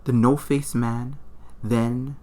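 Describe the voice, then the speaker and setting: A man's voice: medium pitch, little pitch movement, takes it slowly, warm tone. A man narrating a comedic horror story in an informal, slangy, irreverent way.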